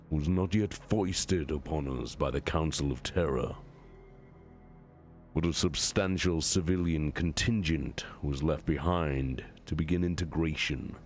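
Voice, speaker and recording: A male reader playing a grave demigod warlord speaks in an extremely deep, gravelly register. His delivery is formal and commanding, as in a space-opera audiobook, with faint music underneath. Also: a little mellow. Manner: formal, declamatory. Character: commanding warlord primarch, grave and measured, briefing his brothers.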